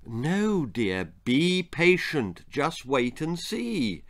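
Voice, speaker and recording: A man voicing a bony old grandmother in a storybook, patiently and teasingly telling a ghost story in a slow, deliberate way. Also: mid-range voice, very animated, a bit bright. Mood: patient, firm.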